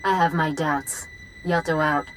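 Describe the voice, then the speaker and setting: Lowish-voiced, defiant starship captain. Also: female voice, steady tone, over loud music, low-quality recording. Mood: calm defiance. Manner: cool, resolute, deliberate. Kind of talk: dramatic video game acting.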